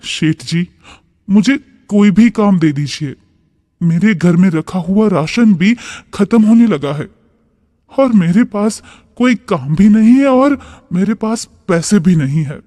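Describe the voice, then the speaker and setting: Man as humble worried farmer, high male voice, slow pleading delivery, cartoon story, reverberant. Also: animated, mellow. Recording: long reverb.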